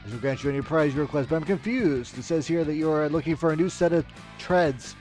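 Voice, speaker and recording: A man's voice: on the high side, fast talker, a little mellow, faint music behind, lightly acted. A man reading out melodramatic video game ending text as a storyteller. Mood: storytelling.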